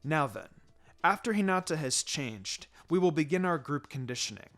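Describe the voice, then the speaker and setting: A man voicing a calm, wry ninja teacher in a story reading, measured, firm but encouraging. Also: fairly high pitch, pitched below the performer's own voice. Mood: instructive, wry. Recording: music behind the voice.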